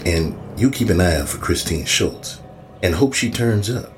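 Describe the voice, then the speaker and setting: A man's voice: deep voice, expressive, normal speed, slight background noise. A man playing a stern, sardonic small-town police chief in an audio horror drama, talking folksy with dry menace. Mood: dry, menacing.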